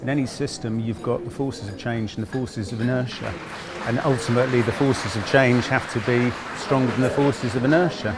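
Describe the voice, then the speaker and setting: A man speaking at an event about health technology, measured and making his case with figures. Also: mid-range voice. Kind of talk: panel interview at an event. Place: conference event. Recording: busy crowd noise behind.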